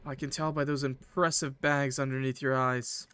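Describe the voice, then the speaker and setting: A man's voice: medium pitch, flat delivery, normal speed, a little hiss or hum, close to an everyday voice. A man voicing a shy, hesitant, eager-to-please young male train attendant in a visual novel. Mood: eager, kind. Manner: hesitant, apologetic, soft-hearted.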